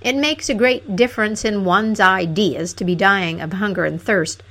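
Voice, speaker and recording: A woman voicing a cross, selfish young gentleman in a fairy tale, curt and grumbling. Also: medium pitch, moderate pace. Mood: callous. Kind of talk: fairy tale storybook character.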